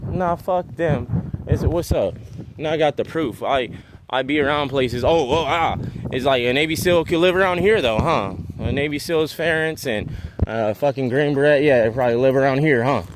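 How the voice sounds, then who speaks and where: A man's voice: medium pitch, moderate pace, background noise. A man, rambling restlessly in a vlog while out in a residential neighborhood, often trailing off. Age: 20s. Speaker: vlogger.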